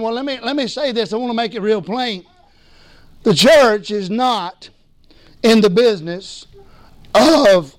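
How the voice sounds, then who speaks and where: A man preaching a sermon as pastor, hoarse from losing his voice, emphatic and calling for responses. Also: high voice, no echo. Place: church sanctuary.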